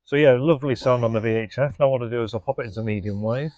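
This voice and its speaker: A man's voice: mid-range voice, rapid-fire, darkish tone, no echo, muffled recording. A British man giving an informal, unscripted hands-on product demo and review, explaining as he goes, recorded on a body-worn mic in a garage. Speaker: host of retro gadget restoration channel, demonstrating a product. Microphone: body-worn microphone.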